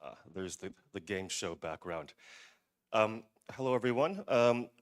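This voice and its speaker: A man's voice: fairly low pitch, expressive, measured pace. A man giving a conference presentation, hesitant, with many fillers and restarts. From United States. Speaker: museum director presenting at a forum.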